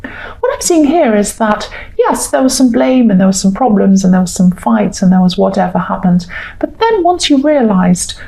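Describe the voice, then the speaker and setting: A woman giving a rambling, reassuring tarot reading with a slightly croaky voice. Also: mid-range voice, big pitch swings, normal speed, rough recording. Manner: gentle, reassuring, rambling with self-corrections. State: croaky, clearing throat. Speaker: tarot reader. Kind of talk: casual tarot reading monologue.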